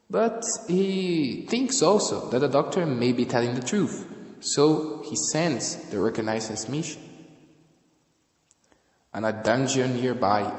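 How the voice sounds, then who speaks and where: A man's voice: medium pitch, darkish tone. A man giving scripted story narration for an amateur audio drama. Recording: echoey room with long reverb.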